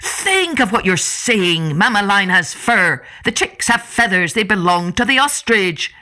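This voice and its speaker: A woman's voice: medium pitch, lively intonation, a little slow, sharp tone. A woman voicing a clever, outspoken mongoose in a children's story, speaking in an emphatic, challenging way. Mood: bold, challenging.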